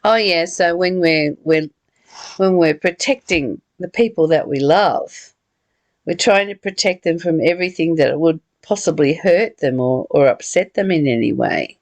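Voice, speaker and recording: A woman's voice: deep voice, a little slow, no room sound, muffled recording. A middle-aged woman talking reflectively and personally in a conversational study discussion. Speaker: study group leader.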